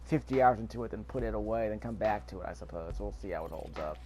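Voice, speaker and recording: A man's voice: lowish voice, fast talker, darkish tone. A man giving casual let's-play commentary, rambling and thinking aloud as he goes. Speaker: gameplay video host. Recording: clear background noise.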